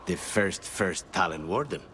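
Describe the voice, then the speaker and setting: An actor playing a dry-witted, brooding assassin in a fantasy game, understated and deadpan. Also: male voice, deep voice, a little slow, slight background noise, exaggerated voice.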